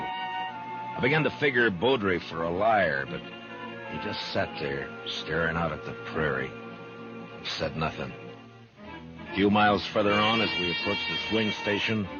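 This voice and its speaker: An actor playing a steady, hard-bitten frontier marshal, terse and measured, in 1950s radio western style. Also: male voice, low-pitched, over music. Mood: grave narration. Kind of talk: 1950s radio western acting and first-person narration. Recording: dull vintage 1950s radio recording.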